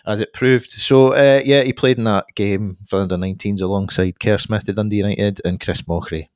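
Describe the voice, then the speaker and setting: A man talks football on a podcast in a very deep voice, weighing each point with hedges and restarts. The sound is dry and close to the mic. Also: steady tone, a little fast, darkish tone, no echo. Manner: thoughtful, hedging, many restarts. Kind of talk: casual football chat.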